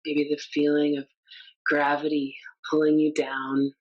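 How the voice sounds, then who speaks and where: A woman leading a guided meditation in a gentle, unhurried, inviting way. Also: low-pitched, completely flat delivery, muffled recording.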